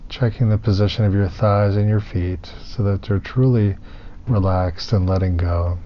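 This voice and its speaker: A man leading a guided meditation as a teacher, soothing and unhurried, pausing between phrases. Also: on the low side, fairly even intonation. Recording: muffled, with clear background noise.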